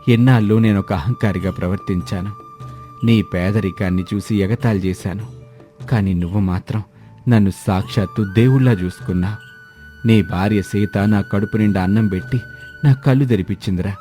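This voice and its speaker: A hearty, rich older uncle, played by a man, effusive and emphatic. His storybook voice is low and very mellow. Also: normal speed, soft background music, lightly acted. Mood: moved, remorseful.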